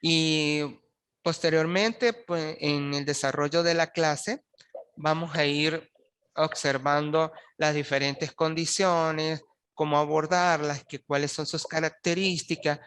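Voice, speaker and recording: A man's voice: fairly high pitch, level tone, slow pace, fairly bright tone, clean tone. A man teaching an online postgraduate class, talking with students in a hesitant way and often checking in with "¿sí?". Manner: hesitant, restarts words, frequent checks with "¿sí?". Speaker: university lecturer. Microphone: video call.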